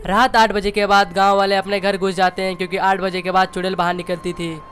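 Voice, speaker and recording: A woman's voice: medium pitch, flat delivery, fairly quick, a little hiss or hum. A woman reading a scripted children's story as its narrator. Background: music.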